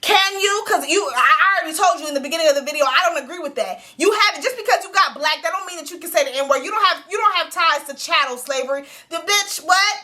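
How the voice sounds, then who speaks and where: A woman hosting her own show, giving blunt, animated, profanity-laced commentary on a reality series. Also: very high-pitched, quick pace, few pauses.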